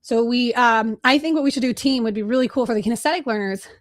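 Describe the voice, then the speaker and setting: Higher-voiced female webinar host from Chicago; fast, direct, energetic, casual with filler words. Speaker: webinar host and agency trainer. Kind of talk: live webinar teaching.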